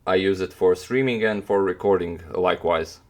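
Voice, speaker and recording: Young Croatian man, deep voice, halting casual streamer chat. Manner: hesitant, many fillers and restarts. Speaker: chess streamer answering chat.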